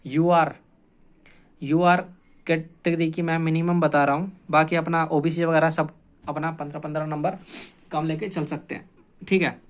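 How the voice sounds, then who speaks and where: A man's voice: fairly high pitch, level tone, normal speed. A man explaining like an exam coach, informal and repetitive, stressing points and often adding check-in tag phrases. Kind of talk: informal explanatory lecture to students.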